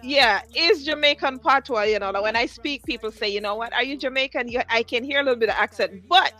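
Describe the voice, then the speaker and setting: A woman's voice: a little high, fast, sharp tone. A woman hosting a music interview show, talking to a live audience in an effusive, encouraging way and repeating phrases for emphasis. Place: music studio.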